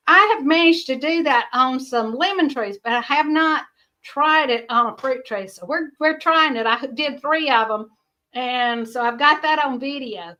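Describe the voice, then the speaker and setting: Middle-aged woman vlogger, high bright voice, rambling homespun chat with frequent laughs. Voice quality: high-pitched. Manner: rambling, chatty, laughs often. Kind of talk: casual chat to viewers.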